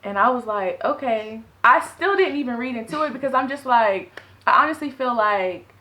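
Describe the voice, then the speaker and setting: Bright-voiced woman chatting animatedly. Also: a little high. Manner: animated, quick asides and self-corrections. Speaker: podcast co-host. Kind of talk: casual chat.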